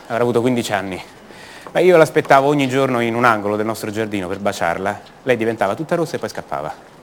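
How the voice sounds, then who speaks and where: An actor playing a passionate, rebellious young poet from a rich family in a radio theatre monologue, confiding and halting, repeating his words. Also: fairly low pitch, a little hiss or hum, lightly acted. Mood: confiding, nostalgic.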